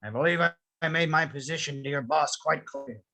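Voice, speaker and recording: A man acting a grumpy, stubborn elderly widower in a script table read, with a husky, rough voice and curt, grumbling delivery. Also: a little high, fairly quick, pushed and bright. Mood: gruff, indignant.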